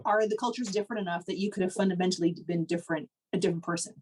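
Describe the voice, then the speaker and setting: A woman's voice: fairly low pitch, fairly even intonation, very fast, a little mellow, slightly rough. A woman co-hosting a podcast, talking casually with lots of "like" and laughing as she tells stories. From Canada. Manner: chatty, frequent "like", laughs while telling stories. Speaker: podcast co-host. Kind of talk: casual conversation.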